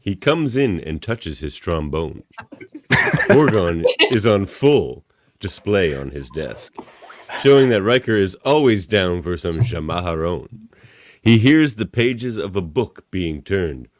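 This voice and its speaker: A man's voice: low voice, very animated, slow, slight background noise. A man narrating a radio play script in a playful, tongue-in-cheek way. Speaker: podcast narrator.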